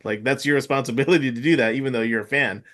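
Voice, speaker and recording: A man's voice: medium pitch, very fast, fairly bright tone. Agreeable male podcast host. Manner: supportive, agreeable, short affirmations. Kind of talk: casual sports chat.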